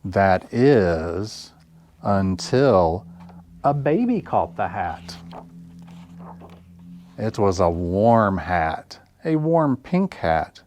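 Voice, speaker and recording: Low-voiced man hosting children's story time. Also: very animated, extremely slow, pauses often, darkish tone, a little hiss or hum. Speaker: library story time host. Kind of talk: children's read-aloud and sing-along story time.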